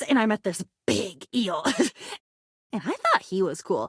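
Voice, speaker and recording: High-voiced manic aristocratic living diary. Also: female voice, no room sound, clean studio sound, full character voice. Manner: theatrical, swinging between dignified and excitable. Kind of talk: video game character acting.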